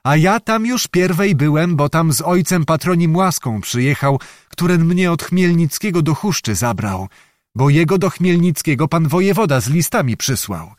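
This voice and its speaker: A man voicing a chatty, devoted young servant lad, talking excitedly in rustic old-fashioned speech. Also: on the high side, moderate pace, no echo, studio quality, voice pushed up, natural voice. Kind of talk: audiobook character voice.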